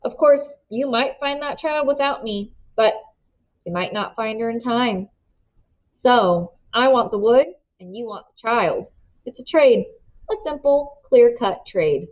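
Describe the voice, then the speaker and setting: A woman voicing a smooth, scheming older man who talks in polite, ingratiating tones with quiet menace. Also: a little high, a little slow, lightly acted. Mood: coldly bargaining. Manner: silky, ingratiating, then hard bargaining. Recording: dull lo-fi recording.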